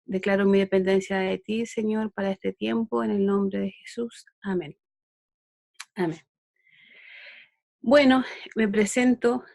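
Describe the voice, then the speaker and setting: A woman's voice: mid-range voice, fairly even intonation, vocal fry, a little mellow, no room sound. Chilean woman teaching a Bible lesson. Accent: Chilean Spanish. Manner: unhurried, with frequent fillers and restarts. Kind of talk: online Bible lesson with opening prayer.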